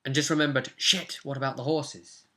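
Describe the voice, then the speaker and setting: A man's voice: medium pitch, fairly even intonation, moderate pace, sharp tone. A young man casually recapping a tabletop role-playing session as game master. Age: young adult. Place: small furnished room at home.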